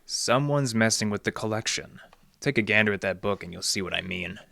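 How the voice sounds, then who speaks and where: An actor playing a wry, hard-boiled library detective in the style of noir radio drama, with deadpan wisecracks. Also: male voice, fairly low pitch, brisk, creaky, slight background noise, exaggerated voice. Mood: dry, businesslike. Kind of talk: 1940s radio detective acting, narration and dialogue.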